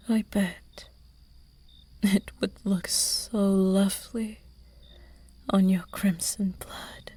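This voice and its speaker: A woman voicing a seductive, predatory vampire in a whispered audio roleplay, teasing and hushed. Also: medium pitch. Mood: enchanted. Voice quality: breathy whisper. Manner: slow, teasing, hushed and intimate. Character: seductive predatory vampire, flirty noble demon.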